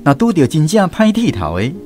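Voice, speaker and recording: Radio host-narrator, male, Taiwanese. Expressive scripted storytelling with wide melodic swings over clear background music. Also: fairly high pitch, a little fast. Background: background music, plucked guitar.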